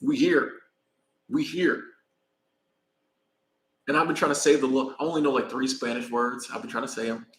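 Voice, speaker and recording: A man with an American accent chats loosely to his live audience in a middle pitch, drifting between stories and shout-outs. His voice carries a roomy echo around it. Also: moderate pace, pauses often, a little hiss or hum. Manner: rambling, laid-back, thanks viewers by name. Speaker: vlogger. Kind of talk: casual live-stream chat. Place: in Mexico, traveling.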